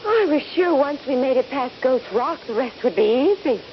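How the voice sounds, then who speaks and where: An actress playing a soldier's loving, anxious young wife in a vintage radio drama. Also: female voice, high-pitched, lively intonation, normal speed. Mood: tender, breathless. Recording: dull, noisy vintage radio recording.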